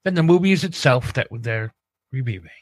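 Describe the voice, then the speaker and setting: A man's voice: medium pitch, lively intonation, moderate pace, no room sound. A man co-hosting a podcast in casual, comic banter. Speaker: podcast co-host.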